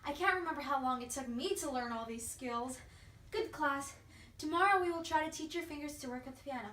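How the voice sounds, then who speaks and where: A woman's voice: high voice, brisk, fairly bright tone. A woman acting an elderly, passionate piano virtuoso and teacher in readers theatre, with an effusive, theatrical manner. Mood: gentle. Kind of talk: amateur readers theatre performance. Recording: echoey room, distant mic, faint noise.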